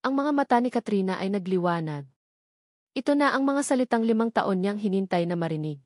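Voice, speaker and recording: A woman's voice: mid-range voice, lively intonation, a little fast, darkish tone, dry recording. Filipino woman narrating, dry close sound. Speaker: story narrator. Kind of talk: dramatic scripted story narration.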